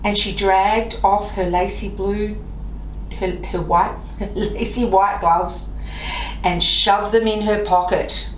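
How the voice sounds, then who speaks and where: Lady reading a kids picture book, slow n sing-song, bit far from the mic in a roomy space. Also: medium pitch. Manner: expressive, deliberate, sing-song on repeated phrases. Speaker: library staff member reading stories. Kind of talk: children's storybook read-aloud. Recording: roomy echo, off-mic, faint noise.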